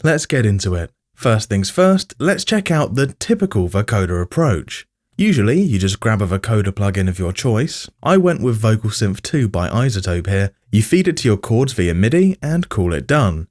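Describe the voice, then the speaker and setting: A man's voice: lowish voice, very animated, normal speed, a little mellow. A man narrating a scripted music production tutorial as its host.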